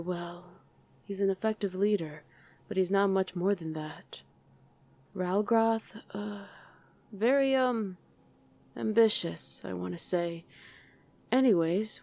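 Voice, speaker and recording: Woman acting a lowish-voiced, wry demon. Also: dark tone. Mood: musing. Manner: conversational, hesitant musing, bickering with a partner. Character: female demon on mortal-hunting duty, wry, easily irritated. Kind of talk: ASMR roleplay acting to an unheard listener.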